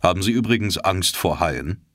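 A man reading an audiobook, voicing a stern, secretive submarine captain in a calm, measured, lowered voice. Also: no echo, studio quality. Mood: calm, cool.

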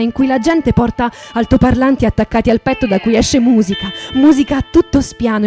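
A woman with a fairly high, velvety voice recites literary text as radio theatre. Her delivery is deliberate and incantatory. Acoustic guitar plays softly behind her. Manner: poetic, deliberate, repeating phrases like a litany. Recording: voice over acoustic guitar music.

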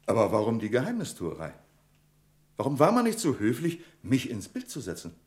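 A man's voice: mid-range voice, huge pitch swings, brisk. A man playing a formal, authoritative bank director who takes offence easily, in a German radio crime drama. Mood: indignant. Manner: commanding, formal.

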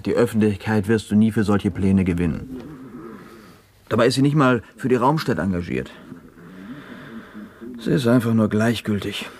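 An actor playing a hesitant, curious member of a dome-city dissident group, speaking conversationally in a radio play. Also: male voice, lowish voice, lively intonation, moderate pace, pauses often, a little mellow. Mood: resigned. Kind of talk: naturalistic 1970s German radio drama acting.

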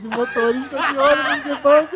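A woman hosting a casual podcast chat, telling comic stories in a dry, deadpan way. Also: sits high, fairly quick. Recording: loud crowd noise behind. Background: loud crowd.

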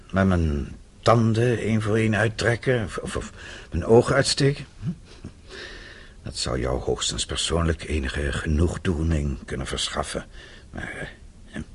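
An actor playing a weary but defiant captive businessman in a Dutch radio drama, sardonic and blunt, halting at times. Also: very deep voice, expressive, breathy edge, exaggerated voice. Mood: defiant, scornful.

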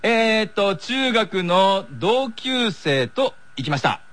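A man's voice: high voice, very animated, slow pace, a bit bright. A man appearing as a radio show guest, chatting casually during a game.

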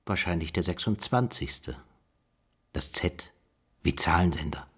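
An actor playing a pondering investigator who muses through clues of a literary case in a radio play. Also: male voice, low voice, expressive, unhurried, slightly rough, exaggerated voice. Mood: intrigued.

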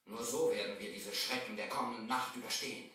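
An actor playing a frightened, pious and loyal servant, agitated and pleading, in a radio play. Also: male voice, fairly low pitch, steady tone, fairly quick, pushed and bright. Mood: fearful, urgent. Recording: echoey distant old vinyl record.

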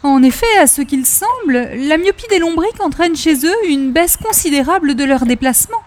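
Coquettish lady caller, very high voice, flowery theatrical delivery, earnest, old-time radio comedy. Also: expressive, normal speed, slight background noise. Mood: dramatically earnest. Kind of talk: 1940s-style radio comedy acting.

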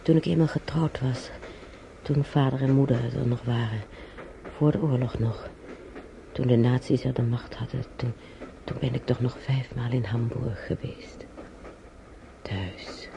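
An older woman acting in a radio drama as a frail, bedridden, wistful but plucky old woman, musing haltingly to herself in a husky, breathy voice. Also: very deep voice, steady tone, background noise, full character voice. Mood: fond, wistful.

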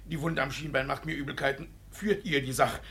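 An actor playing a sly, flustered middle-aged village judge, blustering and wheedling, in a 1950s German radio play. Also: male voice, medium pitch, fairly even intonation, brisk, gravelly, pushed and bright, slightly rough, heavily acted. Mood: pleading, urgent. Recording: noisy early 1950s radio recording.

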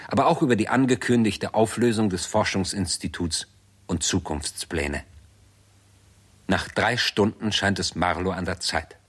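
A man narrating a 1960s radio play in a sober, measured, reporting manner. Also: sits low, husky.

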